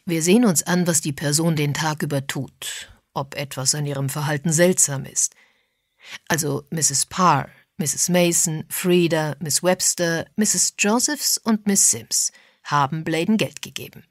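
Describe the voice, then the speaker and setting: A woman's voice: low-pitched, unhurried, no echo, studio quality. A woman voicing a composed, practical man, a methodical amateur sleuth in an audiobook. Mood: brisk, methodical. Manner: methodical, explanatory.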